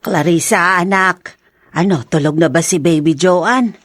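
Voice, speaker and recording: A woman's voice: lowish voice, unhurried, dry recording. An actress with a Filipino accent, playing a fond, talkative middle-aged mother in a radio drama. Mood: coaxing.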